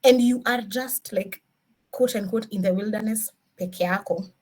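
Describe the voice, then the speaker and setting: Woman, Kenyan accent, mid-range pitch, encouraging, emphatic, informal career talk, code-switching, rhetorical questions. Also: normal speed, darkish tone. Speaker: senior DevOps engineer, guest speaker.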